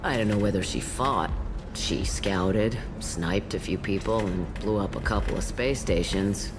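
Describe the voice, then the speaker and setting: A woman's voice: very deep voice, measured pace, noisy background. A woman playing a world-weary, foul-mouthed old alien matriarch bartender in a video game, with sardonic, dry delivery. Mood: wry reminiscing. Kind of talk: video game character acting. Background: loud background music.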